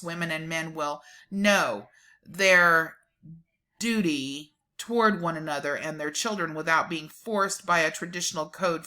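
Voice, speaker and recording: A woman giving a dramatic, deliberate reading of a document, with emphatic delivery and casual sardonic asides. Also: on the low side, pushed and bright.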